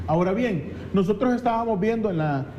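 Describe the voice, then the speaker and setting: A high-voiced man with a Salvadoran accent explains things as a political guest on a talk show. His tone is soft and mellow, and he often doubles back on his phrases. There is faint noise in the recording. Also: animated, brisk, muffled recording.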